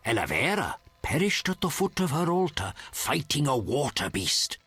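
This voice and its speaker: An actor playing a solemn, reverent hermit in a fantasy video game, with formal, measured phrasing and a husky voice. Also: male voice, on the high side, very animated, sharp tone, no room sound, soft background music, full character voice. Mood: solemn storytelling.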